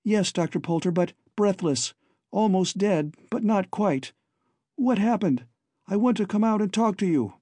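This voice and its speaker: A man's voice: high voice, expressive, unhurried, warm tone, no echo, pitched above the performer's own voice. A man voicing a tiny, frightened young woman held captive, who speaks in a pleading, breathless way.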